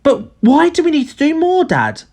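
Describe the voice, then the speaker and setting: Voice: high voice, huge pitch swings, moderate pace, a little mellow, pitched above the performer's own voice, full character voice. A narrator voicing an earnest, questioning young boy in a storybook. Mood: puzzled.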